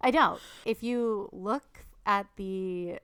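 A woman's voice: medium pitch, takes it slowly, a bit bright, a little hiss or hum. A woman co-hosting a podcast, reading a punny scripted intro and then chatting casually and self-mockingly. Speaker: podcast co-host.

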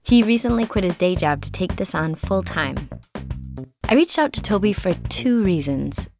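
A woman hosting a podcast, narrating scripted segments in a calm, measured way. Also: mid-range voice, over music. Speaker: podcast host.

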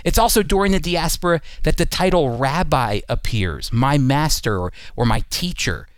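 A man's voice: a little high, expressive, normal speed, smooth, no echo. An American man hosting a Bible teaching program, explaining earnestly and emphatically in a prepared talk. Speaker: radio Bible teaching host. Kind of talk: teaching talk with scripted segments.

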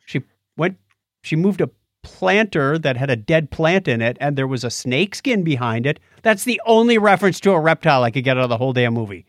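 A man's voice: on the high side, expressive, brisk, dry recording. Man chatting casually in a dry room. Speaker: podcast co-host reviewing films. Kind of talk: casual unscripted review chat.

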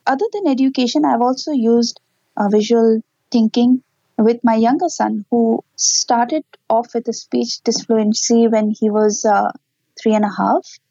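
A woman's voice: fairly high pitch, steady tone, a little slow, warm tone, no room sound, highs cut off. A woman, a parent giving earnest, explanatory answers in an interview. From Pakistan. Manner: earnest, explanatory, with small laughs. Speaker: interview guest, parent and educator.

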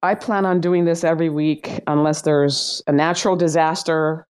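A middle-aged woman hosting a casual online audio chat. She is lively and chatty and laughs often. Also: low voice, moderate pace, clean tone. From Iran. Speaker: host.

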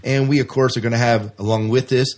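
A man's voice: medium pitch, steady tone, quick pace, a little mellow. A man hosting a podcast in casual banter, chatty, jokey and enthusiastic, often repeating himself for emphasis. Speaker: podcast host.

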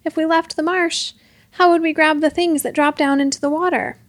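Shifty ghost uncle, male character, high voice, sheepish, half-hearted, storybook, played by a woman. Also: steady tone, moderate pace, no room sound, close to an everyday voice.

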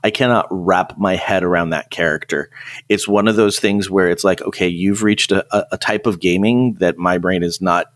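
A man's voice: sits low, fairly even intonation, moderate pace, dry recording, studio quality. A man chatting casually about games on a podcast, conversational and often breaking off to rephrase himself. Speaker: podcast speaker. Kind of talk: casual chat about gaming.

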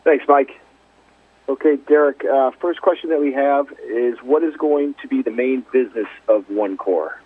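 Husky guy running q&a on a company call, thin dry phone sound. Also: medium pitch, moderate pace, dry recording, narrow, tinny audio. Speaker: call moderator reading out questions. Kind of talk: conference call question and answer.

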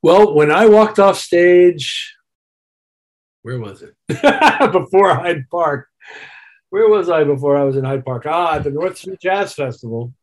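A man's voice: on the high side, expressive, measured pace. A man speaking as a musician guest in an interview, reflective and conversational, repeating phrases for emphasis. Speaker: interview guest, keyboard player.

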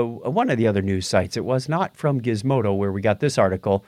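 Animated man hosting a casual podcast. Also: medium pitch, no echo, studio quality. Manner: wry, jokey explainer. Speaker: podcast host. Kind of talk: casual news chat with banter.

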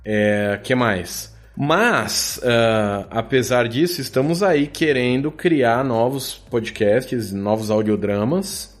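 A man's voice: mid-range voice, takes it slowly, few pauses. A man with a Brazilian Portuguese accent in casual podcast chat, speaking informally as a guest who produces audio dramas, in a jokey, sweary way.

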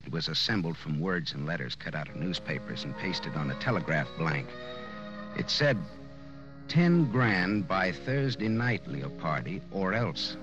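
Low-voiced hard-boiled radio detective. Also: male voice, big pitch swings, a little slow. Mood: wry, moody. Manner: sardonic, slangy, wisecracking. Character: hard-boiled wisecracking male private detective narrator. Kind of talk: 1940s radio noir acting, first-person narration. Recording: dull old-time radio recording, loud music.

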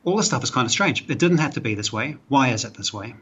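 A man's voice: mid-range voice, lively intonation, fast, fairly bright tone, slightly rough. A man with a New Zealand accent, a guest on a podcast, explaining ideas thoughtfully with frequent fillers and restarts. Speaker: guest, author and psychology professor. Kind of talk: podcast interview.

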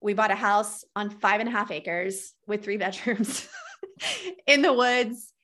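Bright-voiced animated woman storyteller. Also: medium pitch, takes it slowly. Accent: Minnesota, with traces of Texas. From Texas. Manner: animated, lots of "like", acts out quoted lines. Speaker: guest, trauma educator and coach. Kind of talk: casual storytelling conversation.

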